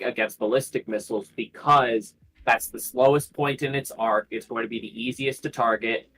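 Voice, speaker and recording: A man's voice: medium pitch, fairly bright tone, poor audio. A man in a casual speculative discussion on a livestream panel, repeating phrases as he reasons things through.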